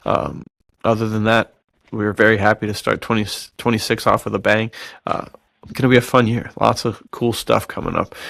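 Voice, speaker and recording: A man with a fairly low voice and a lot of gravelly fry, recorded close and dry. He talks like a podcast co-host in loose, slangy, buddy-to-buddy chat. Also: a bit bright, no echo. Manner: bro-ish slangy talk.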